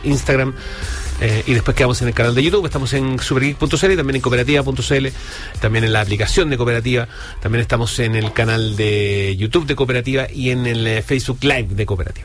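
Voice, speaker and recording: A man's voice: medium pitch, fast talker, faint music behind. A man with a Chilean accent hosting a live radio talk show, hesitant, with many fillers and restarts. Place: radio studio.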